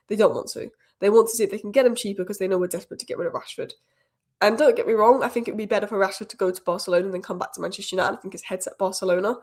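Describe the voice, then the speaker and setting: A woman hosting a football news roundup, a little croaky from a cold, giving opinionated fan commentary. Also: medium pitch. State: has a cold, slightly croaky. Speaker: football channel host. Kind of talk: fast solo news roundup.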